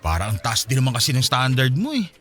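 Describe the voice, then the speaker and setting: A man's voice: mid-range voice, big pitch swings, fast talker, fairly bright tone. An actor playing a friendly 27-year-old Filipino man in a radio drama, chatty and teasing in a casual way. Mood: teasing, curious. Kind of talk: Tagalog radio drama dialogue.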